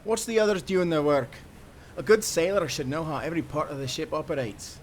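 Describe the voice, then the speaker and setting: Male actor as cheeky friendly sailor, fairly high male voice, folksy seafaring speech, audio drama, noisy ambience behind. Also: brisk, lightly acted. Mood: brisk, encouraging. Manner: folksy old-time seafaring speech, encouraging. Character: hearty, friendly timberman turned sailor, cheekily teasing. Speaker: sailor guiding a newcomer. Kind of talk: nautical fantasy audio drama acting.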